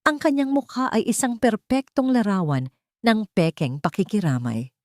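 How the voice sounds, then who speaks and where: A woman reading a scripted audio drama in an emotive, deliberate storytelling manner. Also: mid-range voice, very animated, darkish tone, no echo, studio quality.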